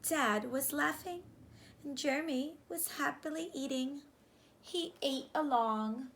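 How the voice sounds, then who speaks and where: High-pitched, unhurried woman reading aloud. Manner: animated, questioning, slow and deliberate. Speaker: teacher reading a picture book aloud. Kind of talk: children's read-aloud with questions to the listeners. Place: small room indoors, on a couch. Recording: clear background room noise.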